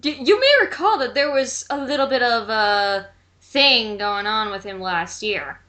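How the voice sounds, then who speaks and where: A woman's voice: on the high side, lively intonation, a little slow, pushed and bright. A woman co-commentating in casual banter, with a sassy, teasing way of talking. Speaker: guest co-commentator.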